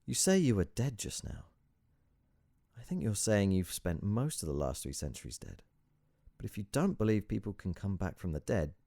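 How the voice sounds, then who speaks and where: A man's voice: lowish voice, very animated, moderate pace, dark tone, no echo, studio quality, pitched above the performer's own voice. A man as a wry, probing young sceptic. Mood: sceptical probing.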